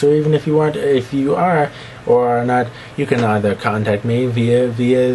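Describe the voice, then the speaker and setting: A man's voice: a little mellow, a little hiss or hum. A young man with a slight lisp, talking to camera in a rambling, self-mocking vlog. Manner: rambling, self-mocking, breaks into squeaky put-on voices. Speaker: vlogger. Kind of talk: rambling casual monologue to camera.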